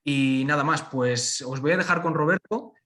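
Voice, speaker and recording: A man hosting a webinar with an informal introduction, speaking haltingly and often repeating or correcting himself. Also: a little high, bright, forceful tone. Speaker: webinar host.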